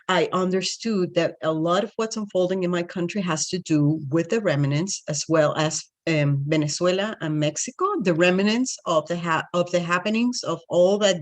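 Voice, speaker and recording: A woman with a Puerto Rican accent and a fairly low voice. She talks as a spiritual coach, unscripted, answering questions in her own words. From Puerto Rico.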